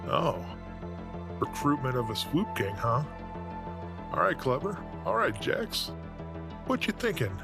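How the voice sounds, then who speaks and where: A man playing an excitable, gruff alien squad member in a scripted audio drama, with a gravelly voice. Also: mid-range voice. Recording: music under the voice.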